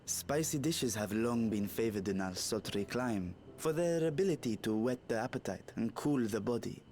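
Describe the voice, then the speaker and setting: A man voicing a serene dragon ruler in humanlike form for a video game, speaking in a formal, measured and courtly manner. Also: medium pitch, lively intonation, a little mellow, slight background noise, close to an everyday voice. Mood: courtly, informative. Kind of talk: scripted video game dialogue. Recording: music behind the voice.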